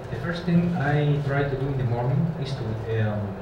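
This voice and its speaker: Guy on a writers panel, measured and emphatic, dull far-off hall sound. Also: mid-range voice, lively intonation, background noise. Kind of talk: panel discussion answer.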